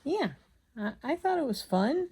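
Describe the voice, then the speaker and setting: A woman chatting casually as she hand stitches, rambling and absent-minded, muttering to herself, with a slightly gravelly voice. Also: sits high, sing-song, a little slow, mellow. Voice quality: slightly gravelly from a frog in the throat. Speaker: craft vlogger.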